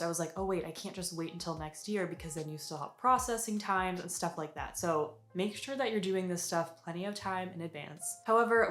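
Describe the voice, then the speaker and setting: A woman's voice: on the low side, echoey room. A young American woman chatting upbeat and casual in an advice vlog, with lots of fillers. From United States. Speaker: vlogger.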